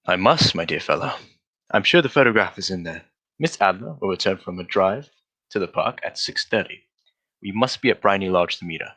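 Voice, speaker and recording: An actor playing a brisk, self-assured gentleman detective in an old-style radio drama, with precise, formal diction. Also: low-pitched, expressive, full character voice, low-quality recording. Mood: brisk, commanding. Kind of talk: 1940s radio drama acting.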